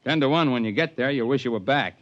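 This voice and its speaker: An actor playing a wry, shrewd, suspicious mountain climber in a 1950s radio drama, talking in a dry, knowing way. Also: male voice, medium pitch, fast talker, a bit bright, dry recording, natural voice. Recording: muffled dry vintage radio recording.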